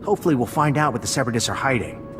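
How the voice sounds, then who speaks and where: Man playing friendly military lieutenant, medium pitch, animated intonation, chatty, earnest, game briefing over music. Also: rapid-fire, natural voice. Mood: earnest, eager. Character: eager, friendly male lieutenant briefing a soldier. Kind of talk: video game cutscene acting.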